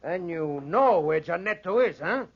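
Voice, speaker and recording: High-voiced, wheedling radio schemer. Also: male voice, expressive, unhurried, gravelly, breathy edge, heavily acted. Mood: insistent. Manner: wheedling, insistent. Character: scheming man coaxing a child with bribes. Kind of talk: 1940s radio acting. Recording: muffled 1940s radio recording.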